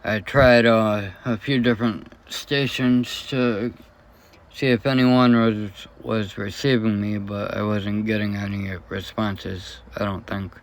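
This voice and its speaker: A man talking in a casual, unscripted vlog, troubleshooting in a hesitant and unsure, halting way. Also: fairly low pitch, fairly even intonation. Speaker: vlogger.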